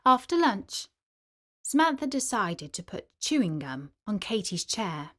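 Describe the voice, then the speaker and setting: A woman storyteller narrating a children's story in an expressive, clearly articulated storybook manner. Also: medium pitch, a little slow.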